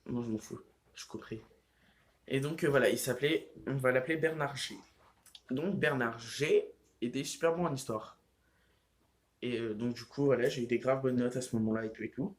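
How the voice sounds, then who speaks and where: A man's voice: medium pitch, normal speed. A teenage boy talks casually to his viewers from home, rambling through his thoughts with many fillers and restarts. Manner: rambling, heavy use of fillers like "genre" and "euh". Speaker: vlogger. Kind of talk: casual vlog chat.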